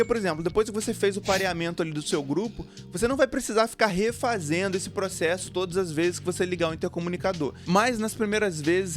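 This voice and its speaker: A man with a Brazilian Portuguese accent presenting a product review in an explanatory, informal way. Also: high-pitched. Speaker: motorcycle gear review video host. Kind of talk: product review narration to camera or voice-over. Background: background music.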